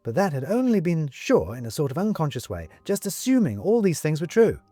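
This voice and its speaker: Animated male storyteller, dry close sound. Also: a little high, brisk, dry recording. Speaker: audiobook narrator. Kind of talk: scripted dramatic storytelling.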